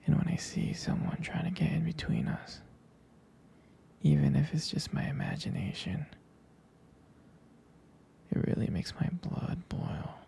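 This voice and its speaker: A man playing a jealous, protective and doting boyfriend in an intimate roleplay ASMR, speaking softly and hesitantly in whispers. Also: on the high side, big pitch swings, measured pace, gravelly, long pauses, heavily acted. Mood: jealous, possessive.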